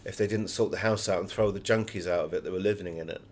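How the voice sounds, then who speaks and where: Guy, author in an interview, kinda low voice, just telling stories. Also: steady tone, fast talker. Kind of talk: conversational interview answers, anecdotal.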